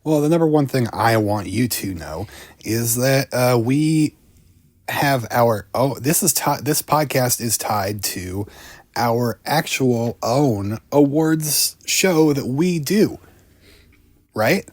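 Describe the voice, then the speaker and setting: A man co-hosting a casual film podcast, with dry jokes and lines that trail off quietly. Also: medium pitch, lively intonation, slow, dry recording. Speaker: podcast co-host.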